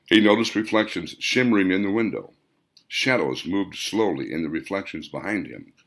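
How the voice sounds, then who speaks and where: A man reading a story aloud as dramatic, theatrical audiobook narration, with deliberate delivery. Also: deep voice, animated, a bit bright.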